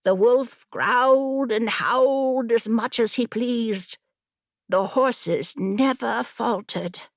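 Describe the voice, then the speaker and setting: A woman's voice: a little high, no room sound, studio quality. A woman narrating scripted fiction as a dramatic audiobook reader.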